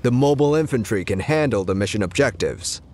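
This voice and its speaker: An actor playing a dutiful military infantry captain in a video game, formal and commanding. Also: male voice, fairly low pitch, expressive, fairly quick, a little mellow, slight background noise, close to an everyday voice. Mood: wary, firm.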